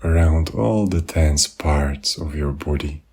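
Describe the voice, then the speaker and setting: Male meditation guide, deep and husky, gentle spoken instruction with unhurried pauses. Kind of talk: guided body scan meditation.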